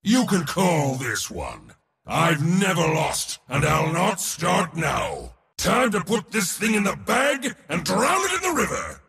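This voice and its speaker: A man voicing a proud, boastful god of war for a video game, gravelly, pompous and theatrical in delivery. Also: sits high, huge pitch swings, takes it slowly, a bit bright, shouting, long reverb tail, exaggerated voice. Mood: battle-roused, commanding. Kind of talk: video game character voice lines.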